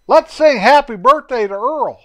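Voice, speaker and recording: A man voicing an excitable, chatty little boy in a children's story. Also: very high-pitched, moderate pace, voice pushed up. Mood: eager.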